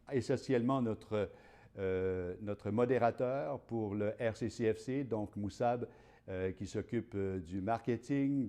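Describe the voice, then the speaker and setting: Homme animateur de webinaire, voix grave, timbre doux, nombreuses hésitations, studio. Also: male voice, on the low side, warm tone. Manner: friendly, many fillers. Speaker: webinar host. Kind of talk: hosting a live webinar.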